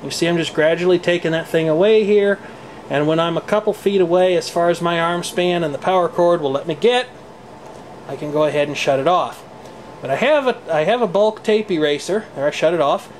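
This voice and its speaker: An American man with a fairly high-pitched voice talks through a hands-on demonstration. His delivery is casual, explanatory and a little wry. It is recorded indoors on a handheld camcorder, with a faint steady noise behind him. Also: fairly quick, low-quality recording. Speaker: presenter demonstrating tape deck maintenance. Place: indoor room at home. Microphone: handheld camcorder microphone.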